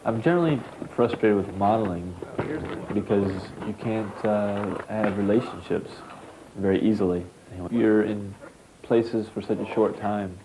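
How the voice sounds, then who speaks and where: A twenty-five-year-old man from Ohio, a model speaking slowly and thoughtfully with halting pauses. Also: on the low side, noisy background. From Ohio, USA. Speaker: model, reality show housemate. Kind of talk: reflective interview.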